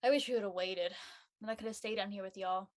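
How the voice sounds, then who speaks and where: A woman's voice: mid-range voice, fairly even intonation, quick pace, lightly acted. A woman playing a practical, slightly wistful college-bound young woman in casual scripted dialogue.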